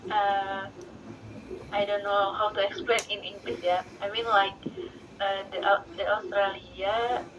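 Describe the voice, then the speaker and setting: A woman's voice: mid-range voice, level tone, unhurried. A woman with an Indonesian accent giving a hesitant, informal presentation, searching for words in English as a second language. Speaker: participant presenting in a talk group. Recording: muffled, noisy, distant online call.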